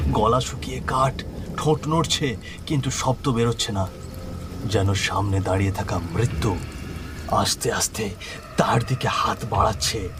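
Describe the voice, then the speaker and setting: A man narrating a scripted horror story in a dramatic, suspenseful manner. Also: fairly low pitch.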